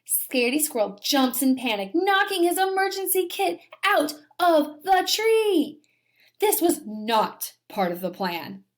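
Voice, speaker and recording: A woman's voice: high voice, sing-song, measured pace. A woman teacher reading a children's story aloud with dramatic emphasis and talking directly to her young pupils. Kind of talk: expressive children's story read-aloud and lesson.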